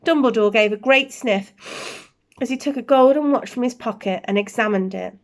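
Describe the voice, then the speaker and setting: A woman narrating a bedtime story in an expressive storytelling style. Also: on the high side, moderate pace.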